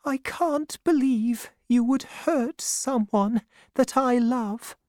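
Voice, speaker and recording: Woman playing a sad, high-voiced gentle mother. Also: slow, smooth, no echo, clean studio sound. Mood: sad, reproachful. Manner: sad, wounded. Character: sorrowful, gentle, reproachful mother.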